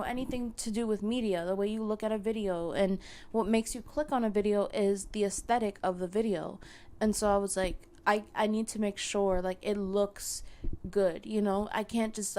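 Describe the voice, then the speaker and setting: A young woman with a hoarse, failing voice, talking casually to her viewers with lots of fillers and restarts. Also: medium pitch, darkish tone. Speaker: content creator. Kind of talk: casual chat to camera.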